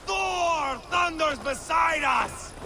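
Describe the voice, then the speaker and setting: An actor playing a bloodthirsty, brash Viking warrior in a video game, gravelly and theatrical, relishing his menace. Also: extremely high pitch, extremely slow, very noisy, exaggerated voice. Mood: battle fury. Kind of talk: dramatic video game acting.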